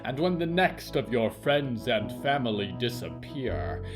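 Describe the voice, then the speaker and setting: A man narrating scripted horror fiction in a theatrical, deliberate and ominous manner. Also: mid-range voice, sing-song, over music.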